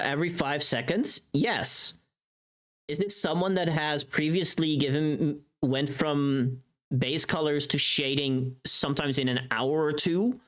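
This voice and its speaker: Mid pitch, livestreamer, casual chat, chatty, informal, thinking out loud.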